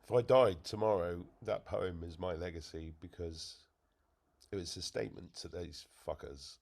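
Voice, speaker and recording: A man's voice: deep voice, normal speed, creaky, darkish tone. A man talking as a guest over a video call, earnest and emphatic, sometimes self-deprecating. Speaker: interview guest and fellow podcaster. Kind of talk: emotional conversation.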